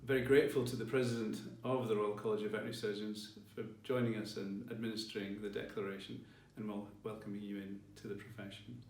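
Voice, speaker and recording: A man giving a formal ceremonial address as a college leader, with measured, deliberate phrasing. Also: lowish voice. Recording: roomy, distant mic, faint music behind.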